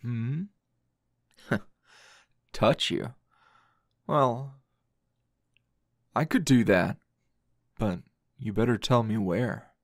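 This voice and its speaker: A man playing a weary, teasing male teacher hero in an intimate ASMR roleplay, with a low gravelly voice and lingering pauses. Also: animated, no room sound, clean studio sound, full character voice. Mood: teasing, seductive. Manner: slow, breathy murmuring with sighs and long pauses.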